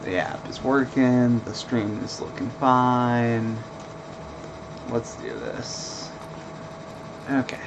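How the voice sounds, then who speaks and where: A man streaming a video game with casual, laid-back commentary in a monotone voice, full of fillers. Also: mid-range voice, slow, creaky, long pauses, background noise. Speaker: let's play streamer.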